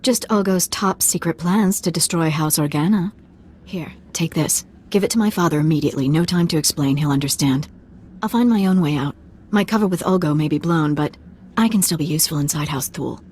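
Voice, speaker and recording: A woman as a flippant, low-voiced young spy. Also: brisk, a little mellow, a little hiss or hum, close to an everyday voice. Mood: breezy. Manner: flippant, teasing. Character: cocky, breezy young noblewoman spy. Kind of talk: dramatic video game acting.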